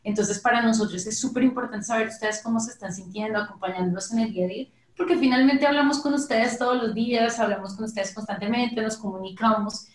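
A woman's voice: fairly high pitch, fast talker, hardly any pauses. A woman hosting a business podcast interview, talking casually and often restarting her phrases, who says her Spanish has slipped because of Portuguese. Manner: warm-hearted, repeats herself, restarts phrases. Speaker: podcast host. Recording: echoey room.

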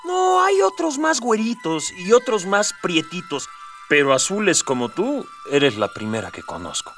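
A man's voice: high voice, sing-song, moderate pace, a bit bright, heavily acted. A man playing a bewildered, indignant police officer with a Mexican accent, flustered and colloquial, in a comedic radio drama. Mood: confused. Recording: distant miking, music underneath.

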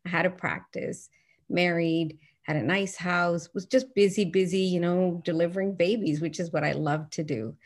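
Woman, middle-aged, from Newfoundland, earnest, reflective, lowish voice, interview guest. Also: fairly even intonation, normal speed. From Newfoundland, Canada. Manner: earnest, reflective, frequent restarts. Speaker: guest, physician and author. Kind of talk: interview conversation.

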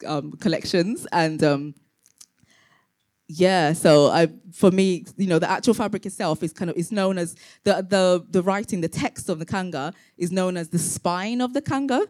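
A woman's voice: lowish voice, moderate pace, a little mellow. A woman from Tanzania, a fashion designer, giving a live presentation about her brand to an audience, speaking hesitantly with many fillers and restarts.

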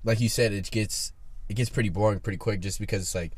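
Easygoing man in his twenties, lowish voice. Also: steady tone, fast talker, slightly rough, no echo. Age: 20s. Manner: easygoing, chatty, swears casually. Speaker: podcast host. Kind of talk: casual podcast chat.

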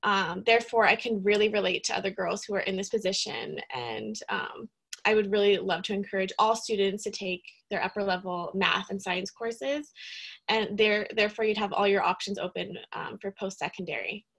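Young woman, from Calgary, mentor, earnest self-introduction, frequent fillers, medium pitch. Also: steady tone, moderate pace, creaky, breathy edge. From Calgary, Canada. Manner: warm, earnest, many "um" fillers. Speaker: student recruiter and mentor.

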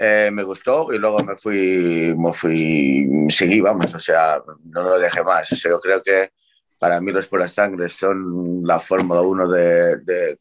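A man's voice: low voice, slight background noise. Male guest, casual conversational delivery. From Portugal. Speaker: horse trainer guest. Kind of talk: casual chat.

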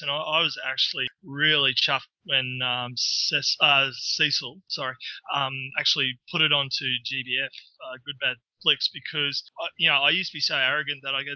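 Australian male podcast guest, very bright tone, rambling casual delivery, dull lo-fi sound. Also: medium pitch, no room sound. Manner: rambling, laughs often, self-corrects. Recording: dull lo-fi audio.

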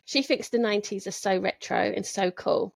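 A woman's voice: medium pitch, moderate pace, vocal fry, no echo. A woman in her forties from Southwest London with a London English accent, a guest author chatting on a podcast in a jokey, self-deprecating way. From Southwest London, England. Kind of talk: casual podcast chat.

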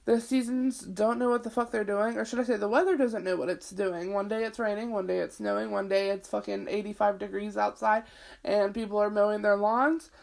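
A woman's voice: mid-range voice, fairly even intonation, a little fast, gravelly, darkish tone. A woman in her twenties hosting her own podcast, rambling casually, swearing freely and leaning on "like," with a sniffly, itchy throat. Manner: chatty, swears freely, lots of "like". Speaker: podcast host.

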